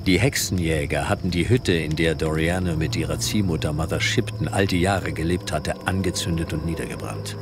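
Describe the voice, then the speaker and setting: Deep-voiced male horror narrator. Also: a little fast, hardly any pauses. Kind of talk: scripted dramatic horror narration. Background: loud music.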